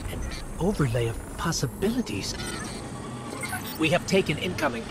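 An earnest little robot sidekick from a video game, anxious and loyal. The voice sits fairly high and reports things urgently, with loud music and gunfire behind it. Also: male voice, very animated, moderate pace. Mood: urgent, analytical.

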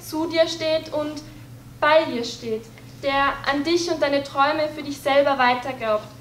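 A woman's voice: high-pitched, fairly bright tone, far from the mic, slight background noise. Earnest teen girl reciting slam poetry. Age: teens. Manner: earnest, rhythmic rhyming delivery, reading from paper. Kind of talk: spoken word poetry recital. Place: dark stage, indoors. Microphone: stand mic at some distance. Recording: echoey hall, voice a bit off-mic.

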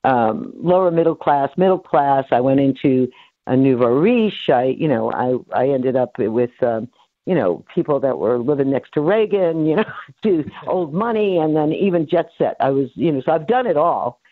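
A woman's voice: extremely low pitch, animated, normal speed, no echo. A woman in her seventies from New York City, rambling through her life story as an interview guest, full of fillers and restarts. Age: 70s. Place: home office. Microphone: headset on a video call. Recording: tinny phone-like dry sound.

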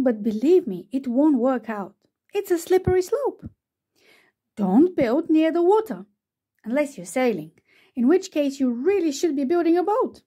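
A woman voicing an excitable, chatty know-it-all owl in a children's storybook read-aloud. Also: sits high, exaggerated voice. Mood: bossy, excited. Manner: excitable, gushing, boastful. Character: eager, chatty know-it-all owl, bossy but helpful.

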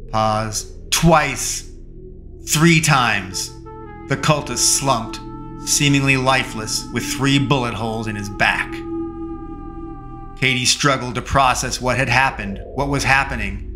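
A man narrating a first-person action story in a measured, dramatic reading. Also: medium pitch.

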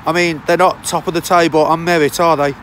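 A man, hoarse from a sore throat, chatting through a football match-day vlog to his phone outdoors with lots of fillers. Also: on the high side, flat delivery, a little fast, a bit bright, slight background noise. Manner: chatty, rambling with many fillers. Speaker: football fan vlogger. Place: outdoors on a city street on a cold day. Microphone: phone held at arm's length.

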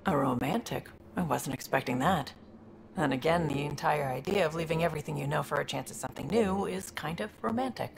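Wry, low-voiced crew trader. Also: female voice, a little fast, creaky, background noise. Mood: breezy. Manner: teasing, breezy, laughs easily. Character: wry young woman crew member, trader.

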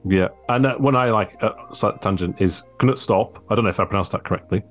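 A man's voice: on the low side, brisk, soft background music. Chatty young male podcast host. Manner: chatty, enthusiastic, asks leading questions. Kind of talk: casual interview over video call. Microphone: large studio microphone on a video call.

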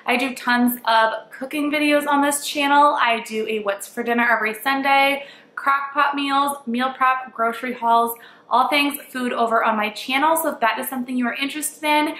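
A woman's voice: high voice, bright, forceful tone, reverberant, a little hiss or hum. A young woman with a cold hosting her cooking channel, chatty and upbeat as she explains each step from her home kitchen. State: congested from a cold. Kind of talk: casual cooking tutorial voice-over.

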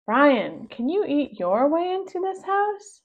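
A woman's voice: high voice, sing-song, takes it slowly, narrow, tinny audio, pitched above the performer's own voice, exaggerated voice. A woman voicing a bold young storybook heroine, bossy and emphatic. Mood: determined.

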